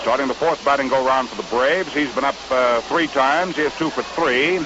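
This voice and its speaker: A man's voice: medium pitch, moderate pace, noisy background. An American man calling baseball play-by-play in a folksy 1950s radio commentary style, on a muffled old broadcast recording. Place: ballpark broadcast booth.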